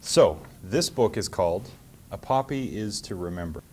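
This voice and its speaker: Low-voiced Canadian man addressing children. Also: lively intonation, moderate pace, warm tone, a little hiss or hum. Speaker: mayor hosting and reading to children. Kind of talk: public talk and storybook reading to schoolchildren.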